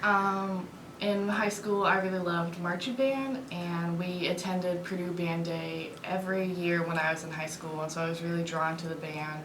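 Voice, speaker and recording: A woman's voice: fairly low pitch, steady tone, normal speed, fairly bright tone, reverberant, slight background noise, rough recording. A young woman in her early twenties from Indiana, answering interview questions hesitantly with many fillers. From Indiana, USA. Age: early 20s. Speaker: student guest.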